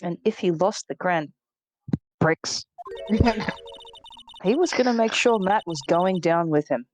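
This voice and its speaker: A woman performs a cool, grown-up witness from a video game. Her voice is on the low side for a woman. Soft music sits behind the lines. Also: animated, unhurried, poor audio. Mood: knowing, cool. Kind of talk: video game dub acting.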